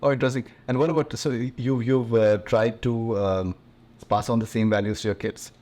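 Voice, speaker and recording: A man's voice: medium pitch, fairly even intonation, normal speed, a little mellow. A male interviewer asking long, winding questions and switching between English and Hindi. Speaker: interviewer, host.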